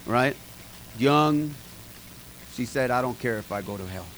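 A man's voice: mid-range voice, animated, measured pace, fairly bright tone, poor audio. Middle-aged male pastor, folksy and emphatic, light hiss in the room. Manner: folksy, emphatic, talks to the congregation directly. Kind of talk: informal sermon to a small group.

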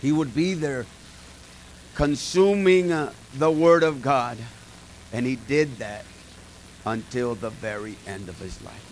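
A middle-aged man is preaching to a small group. His voice sits a little high, and he talks to the people in front of him in a folksy, emphatic way. Faint room hiss runs under his voice. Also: low-quality recording. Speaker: pastor. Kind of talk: informal sermon to a small group.

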